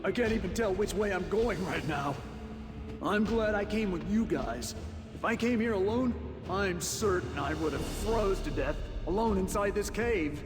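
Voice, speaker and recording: An actor playing a chatty, naive, youthful adventurer who muses aloud in a video game. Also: male voice, high voice, lively intonation, moderate pace. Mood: nervous musing. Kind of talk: video game cutscene acting. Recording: cavernous reverb, loud music behind.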